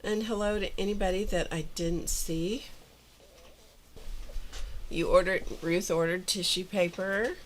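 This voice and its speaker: A woman's voice: on the low side, fairly even intonation, unhurried, long pauses, slight background noise. A woman hosting a casual art livestream, a little congested and chatting aloud as she works in her studio. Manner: chatty, thinking aloud while working. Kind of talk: casual craft livestream chat.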